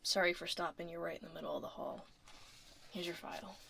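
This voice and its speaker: A woman playing a blunt but caring dragon lady at the office in a soft-spoken, casual ASMR roleplay, with a dry, low-key manner. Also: steady tone, a little fast, creaky, exaggerated voice.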